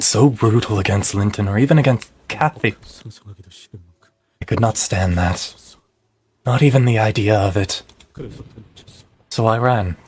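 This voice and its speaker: A man voicing an anguished, self-loathing young man maddened by grief over lost love, in an amateur game dub. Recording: low-quality amateur voice-chat recording.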